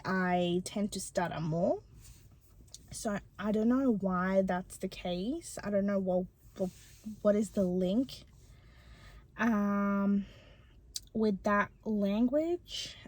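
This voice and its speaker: A young woman from Bangladesh who stutters, talking personally to her viewers with many pauses and ums. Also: mid-range voice, darkish tone. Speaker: channel host. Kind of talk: personal vlog talk to camera.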